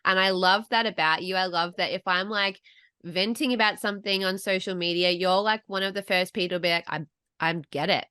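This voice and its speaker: Australian woman podcast host, chatty and casual, bright forward tone, dry close sound. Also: medium pitch, steady tone, brisk, clean tone, no echo. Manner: chatty, swears casually, laughs often. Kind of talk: casual chat interview.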